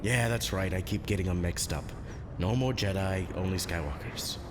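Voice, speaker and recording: An actor in an audio drama playing an easygoing, wry and slightly harried military general. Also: male voice, sits low, normal speed, lightly acted. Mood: casual, teasing. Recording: echoey long reverb, clearly noisy.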